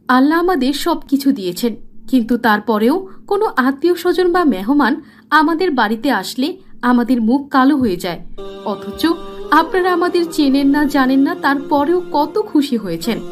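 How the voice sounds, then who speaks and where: A woman playing a fussy, expressive well-off wife in a cartoon. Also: high voice, normal speed, faint music behind. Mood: remorseful. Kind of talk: cartoon voice acting.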